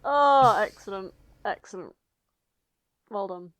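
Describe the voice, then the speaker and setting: Podcast woman, unhurried delivery, casual banter and read-aloud, sweary disbelief, dry recording. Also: mid-range voice, animated, no echo. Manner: sweary, incredulous. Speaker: podcast co-host.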